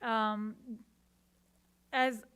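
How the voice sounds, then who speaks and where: Woman from Lebanon, parent at a public hearing; earnest, halting delivery; clear, resonant voice. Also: fairly high pitch, little pitch movement, fairly bright tone. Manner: earnest, halting with frequent fillers. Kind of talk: public comment at a board meeting. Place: school board meeting room.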